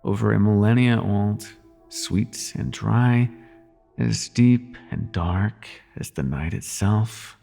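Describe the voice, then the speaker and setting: Man playing a slow, gravelly, seductive demon prince. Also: fairly low pitch, warm tone. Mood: smooth, inviting. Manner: courtly, teasing, lingering delivery. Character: suave male demon prince of nightmares, teasing and seductive. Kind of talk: intimate audio roleplay acting.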